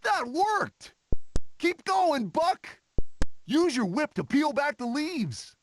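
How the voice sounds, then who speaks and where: A woman voicing an excitable young cartoon animal sidekick in a game, exclaiming and urging. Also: high voice, takes it slowly, a little hiss or hum, exaggerated voice. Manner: exclaiming, urgent, cheering.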